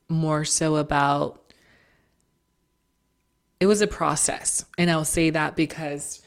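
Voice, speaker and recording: Low-voiced young woman speaking earnestly. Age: young adult. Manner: earnest, measured, with many fillers and "you know". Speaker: interview guest. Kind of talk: casual interview chat.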